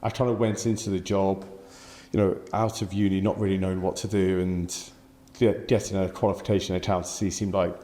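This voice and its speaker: A man's voice: low voice, level tone, a little fast, warm tone, reverberant. A man in his forties with an English accent, answering interview questions thoughtfully with many fillers and restarts. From England. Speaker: podcast guest.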